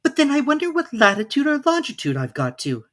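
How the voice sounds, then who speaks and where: A narrator voicing a high, lilting, curious little girl. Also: huge pitch swings, brisk, a bit bright, heavily acted. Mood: musing, chatty. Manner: rambling, wondering aloud to herself. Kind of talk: children's storybook character reading.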